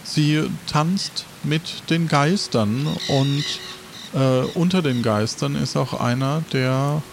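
A man improvising narration as game master, in drawn-out, hesitant phrases. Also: a little high, creaky, background noise. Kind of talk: improvised narration of a role-play.

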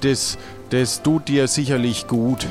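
A man's voice: medium pitch, fairly even intonation, takes it slowly, music in the background, lightly acted. A man playing a teasing, goading pirate companion in an improvised audio adventure play.

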